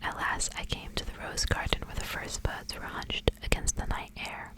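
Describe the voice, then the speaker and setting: A woman speaks entirely in a whisper, in the manner of an ASMR host. Her delivery is soft, hushed and gentle, suited to reading stories aloud. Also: moderate pace.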